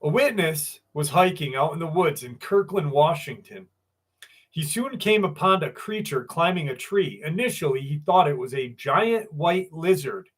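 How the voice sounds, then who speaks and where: A man's voice: on the high side, lively intonation, moderate pace. A man hosting a live stream, telling stories in a loose, profane way and greeting viewers by name. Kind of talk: casual live stream chat and storytelling.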